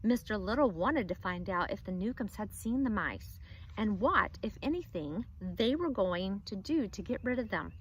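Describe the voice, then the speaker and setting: Expressive teacher reading aloud to kids; woman, lively pitch, questioning. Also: normal speed, slight background noise, low-quality recording. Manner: expressive, asks students questions. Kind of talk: read-aloud lesson for children.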